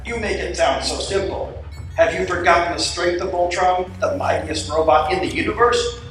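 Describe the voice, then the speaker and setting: A distant, very echoey recording of a commanding alien tyrant king, played by a man in a cartoon radio play. He speaks with measured, imperious authority. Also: sits high, a bit bright, faint music behind. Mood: calculating. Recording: echoey live hall, far from mic.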